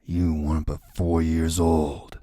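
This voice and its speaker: A man voicing a huge, cold killer of a father in an audiobook, speaking slowly and low. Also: little pitch movement, warm tone, no room sound, studio quality, full character voice. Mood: cold menacing. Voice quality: deep gravelly whispery voice. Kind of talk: audiobook character voice.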